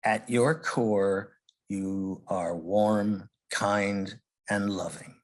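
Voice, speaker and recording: A man leading a guided meditation, speaking gently in short phrases with long pauses. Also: lowish voice. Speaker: meditation leader.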